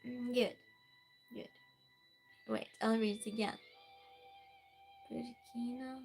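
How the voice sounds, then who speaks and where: A woman's voice: a little high, flat delivery, slow pace, gravelly, a little mellow, faint music behind. A woman with a Norwegian accent, a native Norwegian speaker, chatting casually on a live stream, reading viewer messages aloud with plenty of fillers and restarts.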